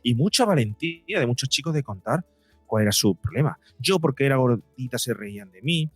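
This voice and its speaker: Male, medium pitch. Interview guest who explains by example and repeats for emphasis. Dry sound. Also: animated, brisk, husky, dry recording, faint music behind. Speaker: psychologist and podcaster, interview guest. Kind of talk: explanatory conversational interview talk.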